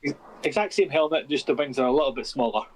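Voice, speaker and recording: A man with a Scottish accent chatting easily as a guest on a stream, reading out a list with pauses. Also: medium pitch, rough recording. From Scotland. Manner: easygoing, reads out a list with pauses. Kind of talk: casual chat.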